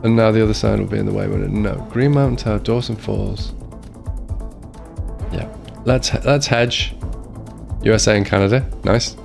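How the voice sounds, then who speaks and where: Low gravelly man thinking aloud. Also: steady tone, normal speed, pauses often, a little mellow, soft background music. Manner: muttering thoughts aloud while searching. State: voice strained, maybe a cold coming on. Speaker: streamer playing a geography game. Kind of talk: casual gameplay commentary.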